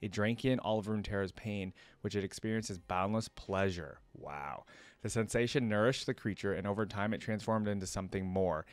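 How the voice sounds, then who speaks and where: A man's voice: on the low side, a little fast, no room sound. A young man hosting a reaction video in a casual, conversational way, commenting and reading aloud as he thinks things through. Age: young adult. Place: indoor home streaming setup.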